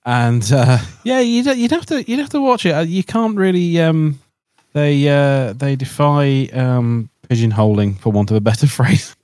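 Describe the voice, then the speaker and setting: A man chatting casually on a podcast in a hesitant, halting way, often repeating himself. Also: mid-range voice, lively intonation, darkish tone, no room sound, studio quality. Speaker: podcast co-host.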